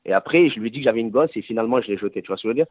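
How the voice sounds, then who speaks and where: Fast-talking thirties man on a phone line. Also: medium pitch, animated. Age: 30s. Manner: fast, storytelling, self-correcting. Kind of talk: casual debate over a phone call. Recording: tinny phone line, dry.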